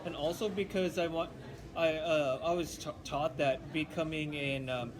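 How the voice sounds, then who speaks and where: A young man studying linguistics, chatting casually in a halting way with many restarts and fillers. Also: on the high side, steady tone, crowd noise. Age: young adult. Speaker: linguistics student. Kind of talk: casual chat.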